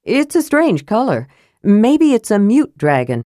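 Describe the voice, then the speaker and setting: A woman's voice: on the high side, big pitch swings, measured pace, dark tone, no room sound, clean studio sound, voice pushed up. A woman voicing a big, simple-hearted young male warrior who talks earnestly and eagerly to please. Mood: awkward. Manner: pleading, earnest. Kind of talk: audiobook character voice.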